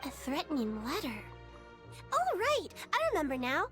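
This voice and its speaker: Cute forgetful little creature girl, super high, bouncy voice, innocent. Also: huge pitch swings, normal speed, fairly bright tone, soft background music, heavily acted. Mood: sweet. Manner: innocent, cheerful, childlike. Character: small, sweet girl of a water-creature race, forgetful.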